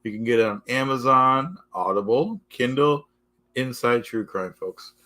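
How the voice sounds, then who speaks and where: A man hosting a casual live stream, halting and repetitive, losing his voice, forever addressing "folks". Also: medium pitch, sharp tone. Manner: repetitive, keeps saying "folks" and "okay"; halting, restarts often.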